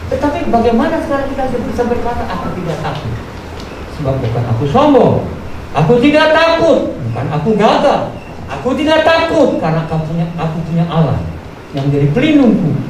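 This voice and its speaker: A woman's voice: on the high side, big pitch swings, a little fast. A woman preaches a sermon, exhorting with rhetorical questions and repeated phrases. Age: 70s. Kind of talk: sermon to a congregation. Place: large echoey room at a live church service. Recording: very echoey, distant, noisy live church recording.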